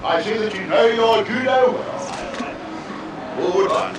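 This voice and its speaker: Man, high-pitched, slow theatrical oration, pompous, indignant, distant echoey archive recording, loud crowd noise. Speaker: man being arrested.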